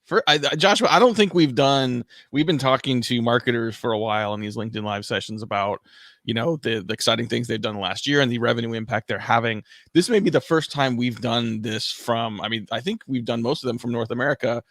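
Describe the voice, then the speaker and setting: A man's voice: mid-range voice, a little fast, fairly bright tone, no echo, studio quality. A man hosting a live online interview, asking friendly, upbeat questions with a few fillers. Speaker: interview host. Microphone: podcast-style microphone.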